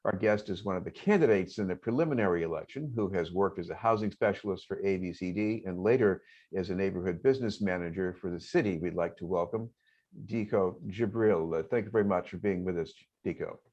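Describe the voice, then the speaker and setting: A man hosting a local news interview, introducing a guest and asking questions with halting repeats. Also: low-pitched, darkish tone. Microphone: video call. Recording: dull lo-fi sound.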